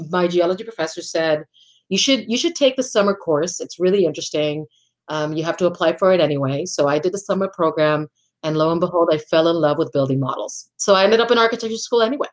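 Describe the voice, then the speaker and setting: A middle-aged woman from Puerto Rico speaks in a fairly low voice, answering questions with thought and care. She often restarts her phrases and laughs lightly between ideas. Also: fast talker. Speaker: guest architect. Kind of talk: conversational interview answers.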